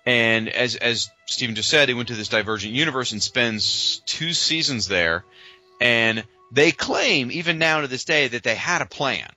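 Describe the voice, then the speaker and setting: A man's voice: medium pitch, normal speed, sharp tone, no room sound, faint music behind. A man co-hosting a podcast, talking casually and emphatically with a fan's strong opinions. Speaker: podcast co-host. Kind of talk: casual fan discussion.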